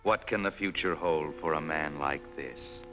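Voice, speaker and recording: An actor playing a sinister, all-knowing narrator of dark tales in a 1940s film noir, speaking in a measured, ironic manner. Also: male voice, a bass voice. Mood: ominous. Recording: vintage 1940s film soundtrack. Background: music.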